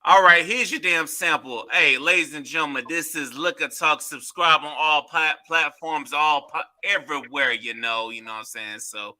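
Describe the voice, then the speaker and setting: A man's voice: fairly high pitch, moderate pace, few pauses, sharp tone. A man hosting a podcast, talking loosely in casual chat and swearing freely. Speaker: podcast host.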